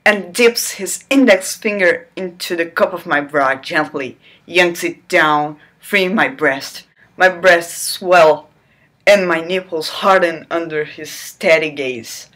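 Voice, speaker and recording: A woman reads aloud in a slow, lowish voice, hamming up every line for laughs. She keeps stopping to toss in sarcastic jokes and squealing asides. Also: fairly bright tone. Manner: theatrical, breaks off to joke and squeal. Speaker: channel host reading aloud. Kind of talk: mock-dramatic reading with sarcastic asides.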